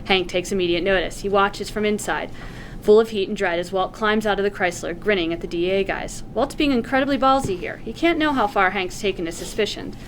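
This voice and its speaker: Woman narrating a tv script at a table read, normal pitch, bit of room noise. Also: fairly quick, low-quality recording. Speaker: stage directions reader.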